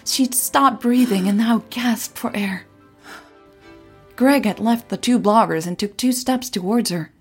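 A woman narrating scripted audio fiction as a podcast storyteller, in a measured, dramatic way. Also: fairly high pitch, breathy edge, soft background music.

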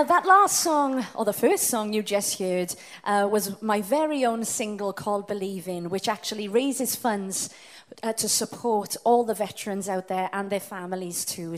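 A singer from South Wales with a Welsh accent, chatting and laughing with a live audience between songs. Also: female voice, a little high. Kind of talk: live stage patter and singing. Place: live venue with a band. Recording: live music behind. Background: music.

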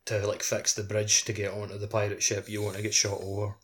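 A man's voice: on the low side, monotone, brisk. A man chatting casually as a co-host on a gaming podcast.

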